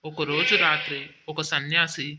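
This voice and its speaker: A man's voice: fairly high pitch, little pitch movement, normal speed. A man giving scripted narration for a moral story. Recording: dull lo-fi audio with faint noise.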